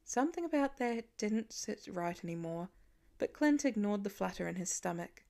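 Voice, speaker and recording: A woman's voice: medium pitch, lively intonation, moderate pace, gravelly, a little mellow. A woman reading a story aloud as an audiobook narrator in scripted narration.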